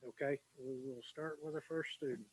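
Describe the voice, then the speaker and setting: A man's voice: fairly high pitch, fairly even intonation, a little fast, husky, poor audio. A man moderating a radio contact as the station operator. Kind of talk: radio call and hand-off.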